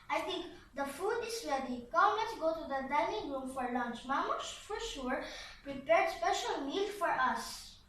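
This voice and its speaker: A woman's voice: sits high, expressive, unhurried, a bit bright, slight background noise. A woman voicing earnest, polite young boy puppets with careful, recited delivery in a scripted puppet show. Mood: earnest, explaining. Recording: roomy echo, slightly distant mic.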